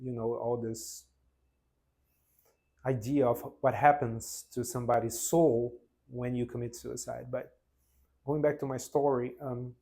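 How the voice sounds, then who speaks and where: A man's voice: medium pitch, fairly even intonation, vocal fry, mellow. Earnest Brazilian man in his forties. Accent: Brazilian Portuguese. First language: Portuguese. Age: 40s. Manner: earnest, halting, with frequent restarts. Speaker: vlogger. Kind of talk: spontaneous personal testimony.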